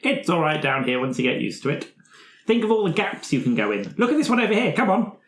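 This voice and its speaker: An eager little worm, voiced by a man for a fantasy game. He is friendly and chummy, with swooping, slightly high pitch. The room is echoey with faint noise. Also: big pitch swings, fast talker.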